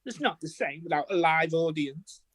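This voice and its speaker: A woman's voice: low voice, moderate pace, slightly rough, dry recording. A woman playing a showy, chatty theatrical type with a breezy manner in a mock interview. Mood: lamenting.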